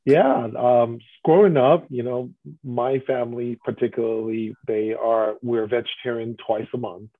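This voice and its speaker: A man from Taiwan, a restaurant guest answering interview questions at length and often tagging his points with a quick "right?". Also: mid-range voice, steady tone. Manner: explanatory, often adds "right?" tags. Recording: muffled phone-like line.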